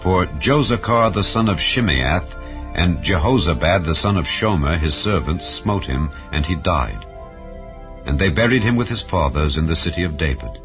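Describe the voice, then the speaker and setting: Low-voiced guy reading the bible slow and solemn, muffled lo-fi audio, quiet music underneath. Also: animated. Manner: measured, solemn reading with rising and falling phrases. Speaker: Bible reader. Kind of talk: scripted scripture narration. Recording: dull lo-fi sound with soft background music.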